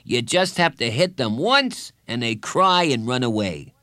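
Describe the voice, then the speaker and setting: A man's voice: mid-range voice, expressive, unhurried, sharp tone, no echo, studio quality, voice pushed up. A man voicing a boastful, defiant schoolboy bully with an emphatic, whiny delivery in a children's audiobook. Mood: scheming, eager.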